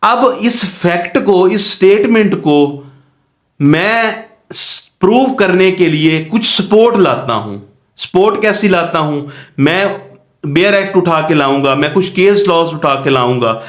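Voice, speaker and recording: A man's voice: high-pitched, expressive, slow. A man with a Pakistani accent teaching law in an explanatory lecture, posing questions and answering them himself in a didactic way. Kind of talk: explanatory lecture with worked examples. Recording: echoey room.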